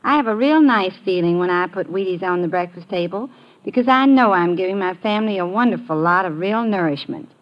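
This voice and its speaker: A woman's voice: fairly low pitch, lively intonation, fairly quick. Kentucky woman on vintage radio. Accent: Kentucky Southern American. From Kentucky, United States. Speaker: announcer's wife giving testimonial. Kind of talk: scripted 1950s radio testimonial. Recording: dull old radio recording.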